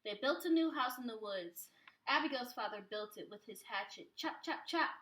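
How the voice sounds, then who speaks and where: A woman reading a picture book aloud to young children like a preschool teacher, with expressive, animated phrasing. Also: a little high, moderate pace.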